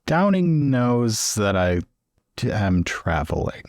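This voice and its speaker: A man playing a restless, reticent scholar with an adventurous streak, speaking haltingly in improvised roleplay over background music. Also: medium pitch, very animated, darkish tone, no echo, clean studio sound. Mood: evasive. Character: James, restless adventurous young scholar, reticent about leaving. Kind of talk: improvised in-character roleplay dialogue.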